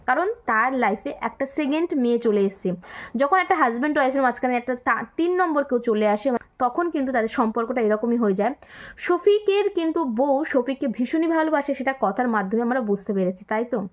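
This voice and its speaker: High-voiced woman hosting a commentary video. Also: lively intonation, fairly quick. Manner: repeats words for emphasis, mixes in English phrases. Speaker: video host commenting on viral clips. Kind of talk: casual commentary addressed to viewers.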